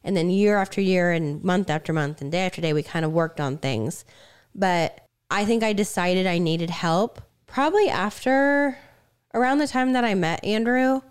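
Reflective woman with a smooth, slightly raspy voice. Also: fairly low pitch. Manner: reflective, speaks in halting restarts. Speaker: podcast co-host. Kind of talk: candid conversation.

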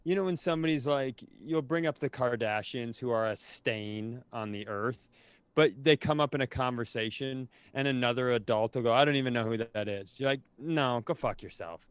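American man, medium pitch, crisp clear voice, dry studio sound, sardonic, exasperated, casual banter. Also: moderate pace, no room sound. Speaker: comedy podcast host.

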